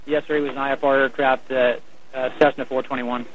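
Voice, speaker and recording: A man's voice: mid-range voice, level tone, fairly quick. A man working as an air traffic controller, giving clipped procedural instructions over the radio. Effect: radio transmission. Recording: narrow-band, noisy air traffic radio.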